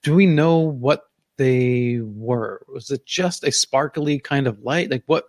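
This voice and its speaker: A man hosts an interview podcast and asks loose, halting questions padded with fillers. His voice sits at a middle pitch for a man. The recording is dry, with no room sound. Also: darkish tone, no echo. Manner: casual, hesitant questions with fillers. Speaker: podcast host.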